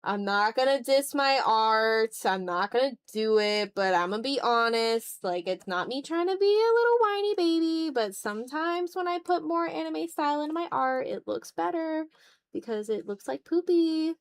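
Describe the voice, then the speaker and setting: Higher-voiced woman chatting casually on stream. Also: animated, moderate pace. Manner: self-deprecating, thinking aloud in fragments. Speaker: art livestreamer. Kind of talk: casual livestream chat while drawing.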